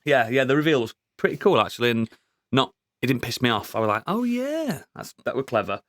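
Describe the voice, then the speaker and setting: A man co-hosting a podcast, chatting casually about a TV show, sweary and excitable, laughing often. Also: mid-range voice, brisk, dry recording, clean studio sound. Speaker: podcast co-host. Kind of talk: casual review chat.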